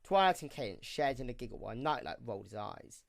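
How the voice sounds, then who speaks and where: A male narrator reads a scripted story. His voice is mid-pitched and the recording is close and dry. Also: big pitch swings, fast talker, gravelly, no room sound.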